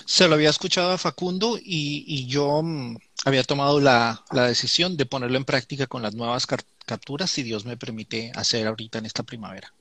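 A man's voice: mid-range voice, fairly even intonation, a little fast, hardly any pauses, fairly bright tone, no room sound. A man chatting casually as a member of a live panel. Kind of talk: casual chat.

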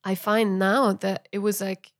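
Gravelly-voiced Italian woman musician. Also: medium pitch, flat delivery, unhurried. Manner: chatty, many fillers and soft laughs. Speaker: musician guest. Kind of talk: casual interview chat.

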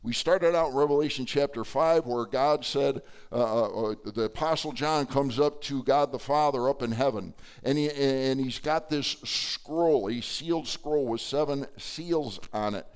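Male pastor, slightly hoarse, smooth mid-range voice, conversational sermon delivery. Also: mid-range voice, a little slow, few pauses. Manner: conversational preaching with many fillers. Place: church sanctuary.

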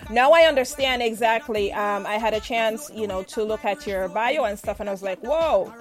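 A woman's voice: a little high, normal speed. A woman hosting an interview show. She gushes with praise and laughs often. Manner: effusive, gushing with praise and frequent laughter. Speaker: radio show host. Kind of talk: lively online interview.

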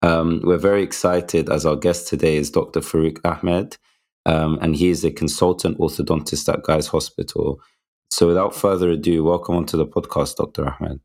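A male podcast co-host with an extremely deep, low voice, introducing his guest and responding with warm enthusiasm and encouragement. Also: steady tone, moderate pace. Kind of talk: podcast interview.

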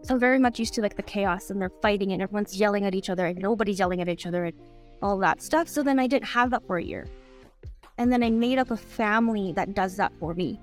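A woman's voice: medium pitch, fast, soft background music. A woman from Canada, chatting casually as a podcast guest in a rambling way, full of "like". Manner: rambling, chatty, heavy use of "like". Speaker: guest author.

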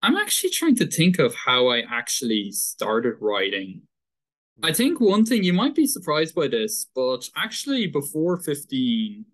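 A man in his twenties with a Dublin Irish accent, a guest author telling his story in an earnest, thoughtful way. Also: mid-range voice. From Ireland. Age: 20s. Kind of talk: casual interview chat.

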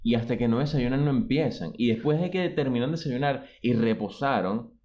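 A man's voice: mid-range voice, fairly quick, muffled recording. A man with a Venezuelan accent, chatting on a podcast in a reflective, wry way. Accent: Venezuelan Spanish. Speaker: podcast co-host. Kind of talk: casual chat.

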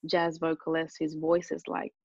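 A woman from Japan and Australia, a native Japanese speaker, talking earnestly and reflectively as an interview guest, in a calm, hesitant way. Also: low voice, normal speed, gravelly, breathy edge, no echo, muffled recording.

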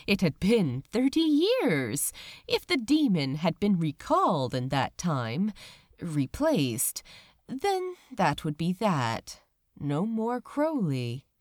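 Female narrator for fiction audiobook: slow, measured delivery, lively intonation, close dry recording. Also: mid-range voice, no echo. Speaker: audiobook-style reader of fan fiction. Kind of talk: scripted story narration.

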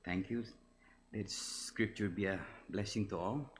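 A man leading the congregation and reading scripture aloud in a measured way. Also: fairly low pitch, steady tone, a little hiss or hum. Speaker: scripture reader.

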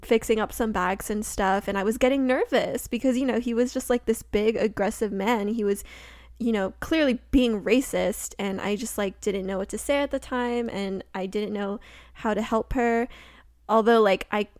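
A woman's voice: medium pitch, moderate pace, darkish tone, no room sound. Rambling young American woman, dry sound. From United States. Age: young adult. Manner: rambling, heavy use of "like". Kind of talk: casual chat.

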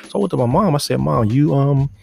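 A man's voice: medium pitch, expressive, fast talker, vocal fry, warm tone. A man in his forties preaching casually to other men, earnest and exhorting, often restarting and repeating words. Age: 40s. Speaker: faith podcast host.

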